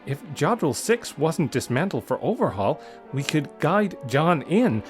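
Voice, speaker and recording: A man's voice: a little high, big pitch swings, measured pace, faint music behind. Man playing a stammering, nervous bookish scientist. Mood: worried, urgent. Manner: hesitant stammer, polite. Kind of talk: audio adventure story character voice.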